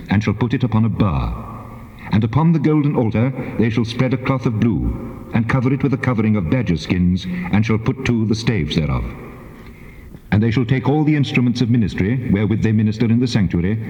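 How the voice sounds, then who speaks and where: A man plays a solemn, commanding deity, declaiming scripture in measured phrases. The low voice rises and falls expressively, ringing in a long, echoing reverb on a dull tape. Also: dark tone, natural voice. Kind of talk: dramatized King James Bible reading.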